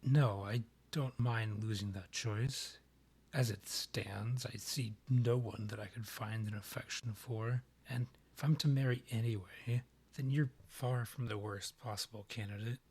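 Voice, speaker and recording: A man playing a haughty vampire monarch, a proud rival and ex-lover, with formal, measured, archly condescending phrasing in a romantic roleplay audio drama. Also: on the low side, fairly even intonation, a little mellow, husky. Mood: persuasive, composed.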